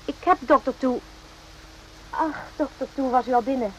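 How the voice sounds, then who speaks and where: An actress playing a composed, quick-witted woman on military staff in a radio play, crisp and matter-of-fact. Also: sits high, natural voice. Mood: businesslike. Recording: noisy old radio play recording.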